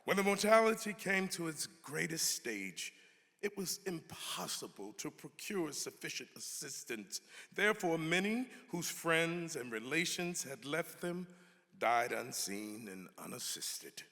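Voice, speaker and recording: Guy doing a dramatic reading in a big echoey hall, slow and weighty, pitch swinging all over. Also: very animated, creaky, bright, forceful tone. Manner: emphatic, halting, weighty delivery. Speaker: actor giving a dramatic reading. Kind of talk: dramatic reading of an 18th-century pamphlet. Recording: reverberant hall.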